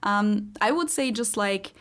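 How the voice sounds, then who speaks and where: A young adult woman from Germany with a German accent, co-hosting a podcast in relaxed chat, full of "like" and "um". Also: medium pitch, a little slow, clear, resonant tone. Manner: chatty, fillers like "like" and "um", frequent laughs. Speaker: podcast co-host. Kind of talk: casual chat.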